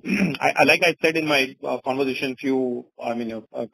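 A man's voice: mid-range voice, moderate pace, creaky, pushed and bright, breathy edge, poor audio. A man with an Indian accent answering investors as a company executive on an earnings call, wordy and often saying "you know".

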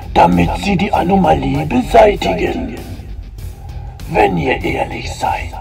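A monstrous, scheming god of corruption for a fantasy radio play. The voice sits fairly high and is thick with creak, sneering slowly and theatrically over prominent music. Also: male voice, full character voice. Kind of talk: fantasy audio drama acting.

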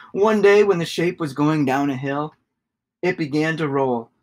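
A man's voice: on the high side, measured pace. Man reading a children's storybook. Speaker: storybook reader. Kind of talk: expressive children's read-aloud.

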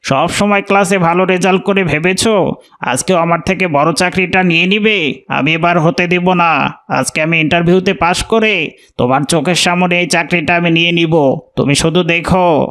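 Man as cartoon rich snob, young, arrogant, boastful, disdainful, highish male pitch. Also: normal speed, a bit bright. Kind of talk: cartoon voice acting.

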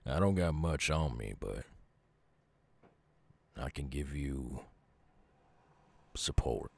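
A man acts out a gruff Southern werewolf in an intimate audio roleplay. His voice is extremely low, thick with gravel and husky. He talks softly and haltingly, a lonely creature with a gentle streak. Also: lively intonation, heavily acted. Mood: grateful, earnest.

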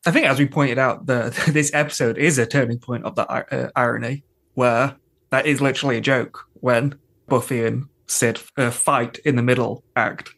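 Male podcast guest, lots of vocal fry, informal and conversational. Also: medium pitch, fairly even intonation, moderate pace, a bit bright. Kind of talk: casual chat.

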